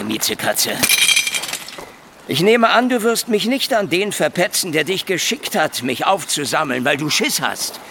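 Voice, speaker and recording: An actor playing a wisecracking, ironic private detective in a noir radio play, drawling taunts and mock endearments. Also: male voice, a little high, creaky, fairly bright tone, a little hiss or hum, full character voice. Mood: taunting, menacing. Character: sardonic, wisecracking hard-boiled male private detective. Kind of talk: radio play acting with noir first-person narration.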